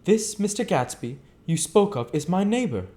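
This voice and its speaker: A man's voice: high voice, very animated, moderate pace, mellow, pitched above the performer's own voice. A man voicing a polite, naive young man from a novel in an innocent, earnest manner. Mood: innocent puzzlement.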